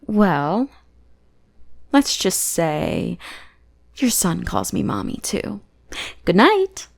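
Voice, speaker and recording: A woman's voice: mid-range voice, very animated, very slow, heavily acted. A young woman playing a teasing, possessive goth bully who is secretly smitten, in an ASMR roleplay. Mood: sweetly polite. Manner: playful, taunting, drops into breathy whispers.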